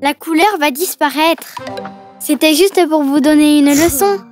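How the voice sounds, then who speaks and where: A woman's voice: high voice, a little slow, faint music behind. A woman voicing a bossy, feisty little fairy girl in a children's cartoon, childlike and insistent. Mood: indignant. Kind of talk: dubbed children's cartoon acting.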